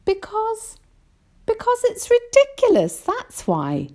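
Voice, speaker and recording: A woman's voice: very high-pitched, huge pitch swings, slow pace, warm tone, voice pushed up, full character voice. A woman voicing a small, curious, polite boy in a storybook, earnest and full of wonder. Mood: incredulous. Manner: earnest, wide-eyed.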